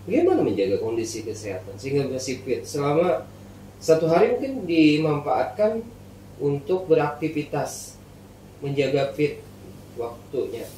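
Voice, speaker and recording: A man, hosting a casual interview in halting short phrases. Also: a little high, sing-song, warm tone. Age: early 40s. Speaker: podcast host. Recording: echoey room, distant mic, faint noise.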